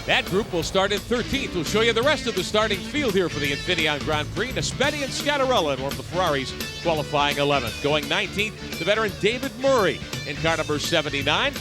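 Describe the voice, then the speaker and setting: Male race announcer, lively pitch, slightly high voice, music bed behind. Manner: lively, brisk, hands off to reporters. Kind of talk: live play-by-play commentary.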